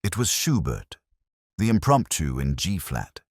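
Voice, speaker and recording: A man narrating a scripted first-person detective mystery in a measured, solemn, literary manner. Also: deep voice, huge pitch swings, no room sound, studio quality.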